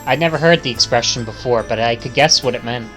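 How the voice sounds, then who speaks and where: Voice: medium pitch, fast, fairly bright tone, soft background music, low-quality recording. A streamer reading visual novel text aloud and adding casual commentary.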